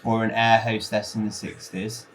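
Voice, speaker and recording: A man making prank phone calls in a deadpan, slightly hesitant way. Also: fairly low pitch, normal speed, over loud music. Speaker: prank caller. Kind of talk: casual phone prank chat.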